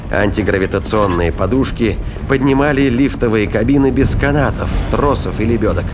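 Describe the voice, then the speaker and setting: A man narrating a science fiction audio drama in a scripted, dramatic storytelling style. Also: on the low side. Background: prominent music bed.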